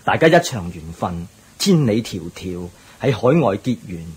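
Husky, hospitable Chinese merchant. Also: male voice, fairly low pitch, sing-song, moderate pace, heavily acted. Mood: earnest, hospitable. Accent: Cantonese, Hong Kong. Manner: polite, reassuring. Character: hospitable, devout middle-aged overseas Chinese shopkeeper. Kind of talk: radio drama acting.

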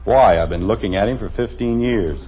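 A man's voice: fairly low pitch, a little fast, slight background noise, close to an everyday voice. An American man playing a dry, exasperated middle-aged suburban father in a 1950s radio comedy. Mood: wry. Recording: muffled old radio broadcast with music.

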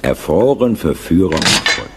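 An actor playing a weary, cynical army field surgeon in a radio drama, gruff and brusque. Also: male voice, low-pitched, very animated, measured pace, heavy background noise. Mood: grim sarcasm.

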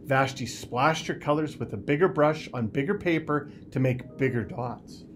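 A man reads a children's picture book aloud with deliberate, expressive storytelling in a small office. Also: medium pitch, a little hiss or hum. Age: 50s. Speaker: school superintendent reading aloud.

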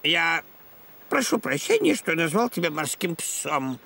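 A man playing a boisterous, competitive old grandpa pig captain with a gruff voice in a children's cartoon dub. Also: a little high, sing-song, a little slow, sharp tone, exaggerated voice. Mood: grumpy, sheepish.

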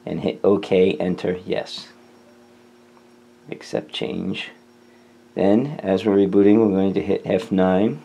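A man's voice: low voice, takes it slowly, gravelly, long pauses, mellow, husky. A man hosting a tutorial, talking through steps in a casual, informal how-to style. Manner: informal, step-by-step instruction. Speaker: tutorial host.

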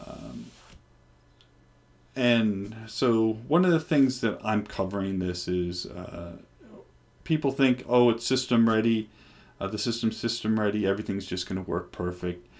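A man in his forties on a headset mic, casually talking through a software install with many ums and restarts. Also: lowish voice, a little slow. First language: English. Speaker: developer presenting hardware. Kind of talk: casual screen-recorded tech demo. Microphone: headset microphone.

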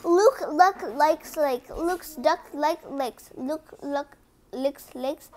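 A read-aloud presenter reading a picture book in an animated way, with casual asides to viewers and some stumbling over the words. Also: high-pitched, very slow. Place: indoors at home, book on a cloth.

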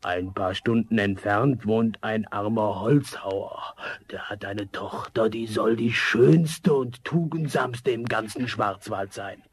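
An actor playing a fat, jovial, money-minded tavern crony in a German fairy-tale radio play, chummy and drawn-out. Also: male voice, on the low side, slightly rough, heavily acted. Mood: sly, pondering.

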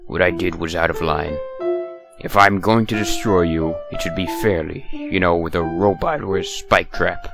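A man voicing an exasperated, theatrical mad scientist villain in a comic dub, swinging between dry grumbling and yelling. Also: low-pitched, fairly even intonation, moderate pace, creaky. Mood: sheepish, calmer. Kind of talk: comic dub character acting. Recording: music bed behind the voice. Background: music.

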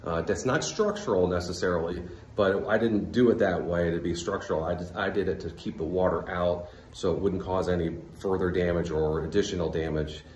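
A very deep-voiced man in his forties talks loosely through his own basement repair, full of ums and you-knows. His phone picks him up at arm's length, with hard concrete walls making the sound ring, under a faint steady hum. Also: roomy sound. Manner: relaxed, rambling, many fillers. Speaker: homeowner vlogger. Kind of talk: casual DIY walkthrough.

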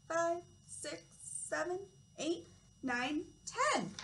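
A young woman with a high voice speaks as a toddler teacher doing a read-aloud. Her phrasing is simple and instructive, addressed to very young children. The classroom recording has noticeable background noise. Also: a bit bright, rough recording. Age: young adult. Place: small classroom, indoors.

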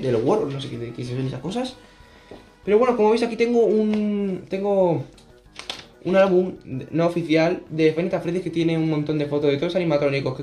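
A man's voice: on the high side, darkish tone. A teenage boy with a Spanish accent from Spain, chatting casually to camera as a gaming vlogger in his bedroom, jokey and rambling. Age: teens. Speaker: gaming youtuber. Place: bedroom, indoors. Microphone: camera on desk, close framing.

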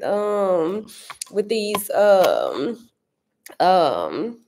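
A woman in her thirties with a St. Louis accent, chatting casually to viewers from her dining room, animated and often searching for words. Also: fairly high pitch. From Saint Louis area. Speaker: vlogger answering viewer comments. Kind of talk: casual chat.

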